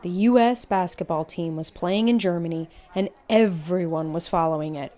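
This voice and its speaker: A woman reading a children's picture book aloud as a storyteller, in an expressive, measured way. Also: lowish voice.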